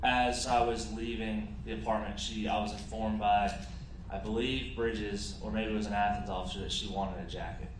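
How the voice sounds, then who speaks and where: Man, witness testimony, short answers, lowish voice, roomy courtroom. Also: steady tone, normal speed, noisy background. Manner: short answers, hedging explanations. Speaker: police officer witness. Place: large courtroom. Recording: roomy courtroom pool feed.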